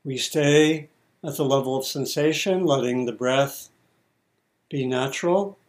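A man teaching meditation, giving guided instructions in short, measured phrases with pauses between them. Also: medium pitch.

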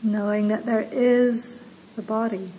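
Woman leading a guided meditation, kinda high soft-mannered delivery, gentle and unhurried w pauses, some clear bg noise. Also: fairly even intonation, rough recording. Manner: slow, gentle, soothing instruction with long pauses. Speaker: meditation teacher.

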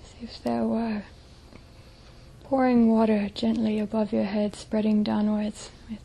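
A woman leading a guided meditation as a teacher, speaking gently in a soft, breathy, hushed voice with long pauses. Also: mid-range voice, monotone.

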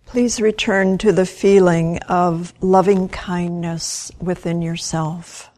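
A woman guides a meditation in a slightly low voice. Her delivery is very slow and gentle. She speaks in short phrases and leaves long, unhurried pauses between them. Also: steady tone. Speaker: meditation teacher.

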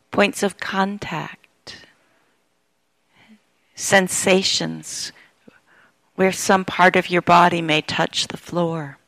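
A woman leads a guided meditation at a very slow pace, leaving long pauses between phrases. Her voice is medium in pitch with a noticeable creak, and the sound is close and dry. She gives each instruction gently, as a teacher would. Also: dry recording.

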